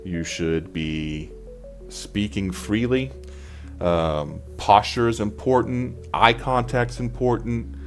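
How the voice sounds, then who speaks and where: Guy with a pretty low voice walking thru presentation tips, informal, music playing behind him. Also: slow pace. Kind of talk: informal tutorial narration over slides.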